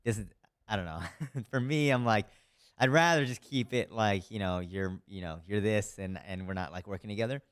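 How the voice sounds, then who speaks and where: A man hosting a casual interview, asking loose, relaxed questions and dropping in short backchannels. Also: lowish voice, moderate pace, clean tone. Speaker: interviewer host.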